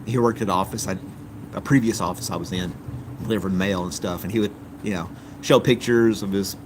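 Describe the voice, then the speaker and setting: A man's voice: on the low side, background noise. A man from the United States hosting a live stream, chatting casually and reading viewer comments in an easygoing, rambling way with many ums.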